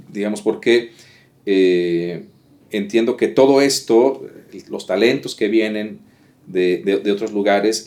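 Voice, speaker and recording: Male academic interviewer, lowish voice, formal, hesitant, filler-heavy. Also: darkish tone. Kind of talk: interview summary and wrap-up.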